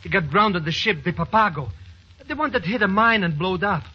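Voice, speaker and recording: A man playing a whiny, jittery scheming sidekick in an old radio drama, pleading excitably in rough grammar. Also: high voice, brisk. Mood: rattled. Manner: pleading, excitable, ungrammatical talk. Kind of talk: 1940s radio acting. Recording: muffled, faintly noisy old radio recording.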